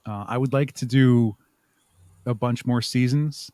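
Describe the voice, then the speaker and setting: A man answering interview questions conversationally as a podcast guest, thinking aloud in a halting way with repeated words. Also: mid-range voice, steady tone, dark tone, dry recording, studio quality. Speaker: podcast guest, audio drama writer and producer.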